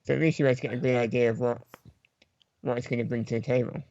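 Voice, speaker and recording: A man's voice: medium pitch, steady tone, fast talker, a little mellow, dry recording. A man chatting casually about video games as a podcast panellist. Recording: dull, close, dry microphone sound.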